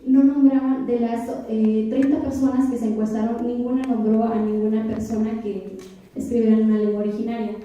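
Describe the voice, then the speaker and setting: A young woman from Oaxaca, Mexico, giving a reflective keynote lecture to a university audience, often pausing on fillers and putting questions to the crowd. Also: a little high, flat delivery, dark tone. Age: 20s. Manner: frequent hesitation fillers. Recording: echoey hall, voice from far off.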